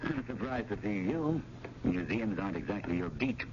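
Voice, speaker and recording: An actor playing a reserved, skeptical museum curator and older brother in a 1970s radio drama, measured, formal and sardonic. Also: male voice, on the low side, animated, close to an everyday voice. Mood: dry, wary. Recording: muffled old radio, faint noise.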